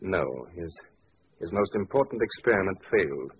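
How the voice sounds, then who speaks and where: An actor playing an earnest, weary, rational sanatorium doctor in old-time radio drama style. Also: male voice, sits low, animated, normal speed, husky, heavily acted. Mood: weary, reflective. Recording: muffled vintage radio recording with noise.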